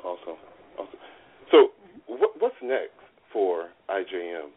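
A man with a fairly low voice co-hosts a talk show, cheering on his guest with eager questions. The sound is narrow and tinny, like a phone. He keeps the chat loose and friendly. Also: huge pitch swings, normal speed. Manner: enthusiastic, encouraging interviewer. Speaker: co-host.

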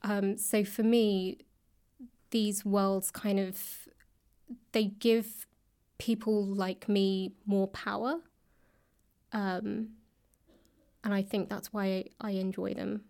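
A woman giving thoughtful, conversational interview answers, pausing often and a little hesitantly between phrases. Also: mid-range voice, level tone, gravelly, dark tone. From grew up in the UK and India. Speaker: guest, author and actor. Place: indoors, upper floor of a public library.

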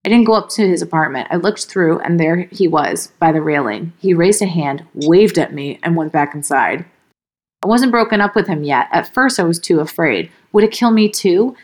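A woman podcast co-host reading a first-person horror story aloud. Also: on the low side. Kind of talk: horror story read aloud, then casual chat.

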